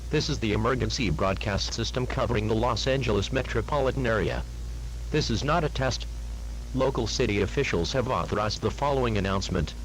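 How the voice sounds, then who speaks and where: A man reading a scripted emergency alert announcement in a formal, grave, deliberate manner. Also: lowish voice, slight background noise, low-quality recording.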